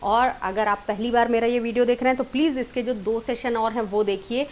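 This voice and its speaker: High-voiced Indian woman teaching singing. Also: steady tone, fairly quick, darkish tone. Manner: instructive, addresses viewers directly, mixes in English terms. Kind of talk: online singing lesson, informal explanation.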